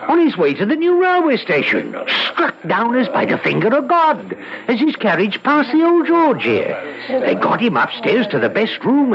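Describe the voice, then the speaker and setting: An actor playing a chatty, nosy village pub regular, folksy and dramatic, in a radio drama. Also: male voice, sits high, gravelly, heavily acted. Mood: excited, gossipy. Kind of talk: vintage radio drama acting. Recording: dull vintage radio recording, background crowd.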